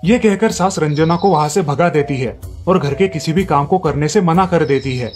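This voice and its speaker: A man narrating a family cartoon story in a steady storytelling manner. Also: fairly high pitch, normal speed, soft background music.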